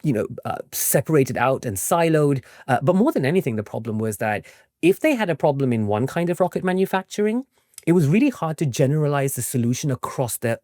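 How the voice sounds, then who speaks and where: A man talks as an interview guest in a quick, highly animated voice, with pitch that moves around a lot. He explains in an informal, conversational way and often tags his points with a checking "right?" The sound is dry and close. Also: dry recording. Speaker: interview guest, historian and author.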